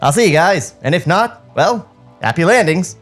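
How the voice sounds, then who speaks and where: A self-important young recruit, played by a man, breezy and theatrical. His lines swing widely in pitch and run a little high, as in a comic script read. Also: lively intonation, moderate pace, fairly bright tone, soft background music. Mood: breezy, smug. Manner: grandiose, theatrical farewells. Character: Landy, breezy, self-important young male army enlistee.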